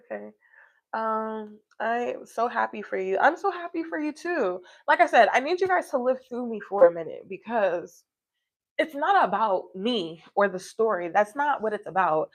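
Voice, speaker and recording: A woman's voice: on the high side, vocal fry. A woman talking casually and reflectively to livestream viewers, rambling and emphatic, often checking that her listeners follow her. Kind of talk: casual personal livestream chat, reflective and motivational.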